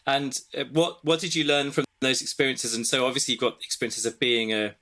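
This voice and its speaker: A man's voice: mid-range voice, little pitch movement, a little fast, pushed and bright. A man hosting a podcast, asking casual, loosely phrased questions with fillers. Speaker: podcast host. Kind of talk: interview questions.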